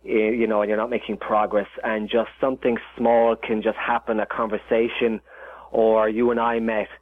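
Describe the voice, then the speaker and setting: A man's voice: lowish voice, flat delivery, moderate pace, no room sound. A man with an Irish accent, a chef talking as a guest in a casual interview, rambling with many restarts and fillers. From Ireland. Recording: tinny phone line.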